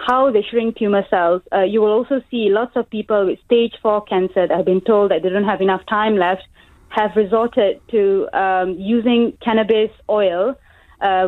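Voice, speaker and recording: A woman's voice: medium pitch, no echo. Young woman from malaysia on a phone-in, tinny phone sound, lots of ums, earnest. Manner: earnest, many ums and restarts. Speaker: caller, pharmacist. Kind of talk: phone-in call.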